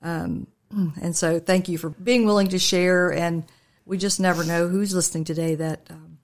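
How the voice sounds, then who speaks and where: A middle-aged woman pastor hosts a faith radio talk show, her voice sitting slightly low. She speaks gently and unhurriedly, pausing on ums as she leads the conversation. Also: fairly even intonation.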